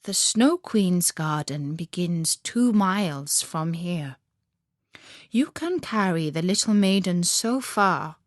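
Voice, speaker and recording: A woman's voice: on the low side, expressive, takes it slowly, no echo, clean studio sound. A woman storyteller voicing a wise, frank northern woman from a fairy tale, speaking emphatically and earnestly. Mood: earnest, instructing.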